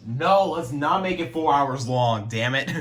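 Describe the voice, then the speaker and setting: A man's voice: mid-range voice, animated, a little slow, a bit bright. A man casually showing off his radio collection at home, rambling, restarting sentences and swearing now and then. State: throat getting sore. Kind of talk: casual show-and-tell vlog. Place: at home at night.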